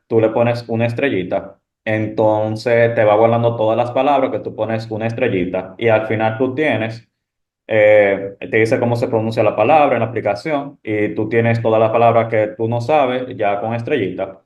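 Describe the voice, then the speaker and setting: A man's voice: mid-range voice, darkish tone, reverberant, lo-fi sound. A young man from the Dominican Republic with a Dominican accent, giving upbeat, encouraging advice in a casual panel chat. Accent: Dominican Spanish. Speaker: incoming medical resident.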